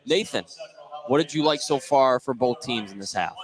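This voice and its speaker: A man's voice: medium pitch, lively intonation, normal speed, low-quality recording. A man hosting live basketball commentary on a broadcast. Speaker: play-by-play host. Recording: live game with crowd noise. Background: crowd.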